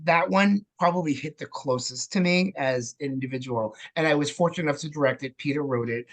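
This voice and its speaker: A man's voice: on the high side, brisk. Candid man. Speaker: interview guest, theatre director and playwright. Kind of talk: casual conversational interview.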